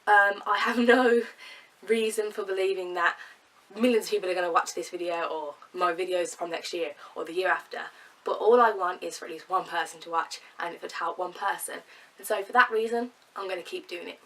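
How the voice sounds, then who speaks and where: A woman's voice: lowish voice, fairly even intonation, fairly quick, fairly bright tone, recorded from a distance, rough recording. Woman, stuffy nose from a cold. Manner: chatty, earnest, with fillers and laughs. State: nasal from a cold. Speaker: vlogger. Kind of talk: casual talk to camera.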